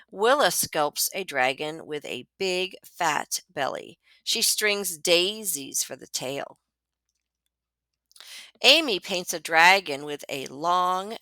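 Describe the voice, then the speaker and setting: A woman reads a picture book aloud to children at a slow, deliberate pace. Her voice is bright and swoops expressively as she leans on key words. The sound is close and dry. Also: lowish voice, no echo. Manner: dramatic, deliberate storytelling with emphasized words. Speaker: librarian reading aloud to students.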